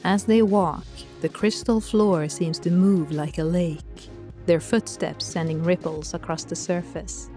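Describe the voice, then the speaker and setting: A woman with a fairly low voice narrates a scripted audio drama. Her storytelling is wry, and music plays softly behind her. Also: steady tone, measured pace.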